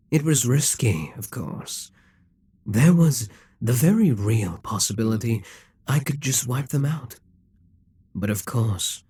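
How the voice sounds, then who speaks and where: A man playing a husky, slow-talking demon king. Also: medium pitch, no echo, exaggerated voice. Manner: slow, measured, persuasive, with quiet whispered asides. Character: immortal male demon king, scheming and possessive. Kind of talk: audio roleplay.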